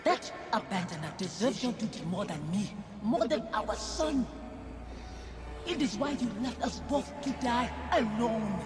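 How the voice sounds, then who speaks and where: A woman playing the wounded, accusing ghost of a dead wife in a video game, measured and cutting. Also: a little high, expressive, over music. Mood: bitter, accusing. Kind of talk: dramatic video game acting.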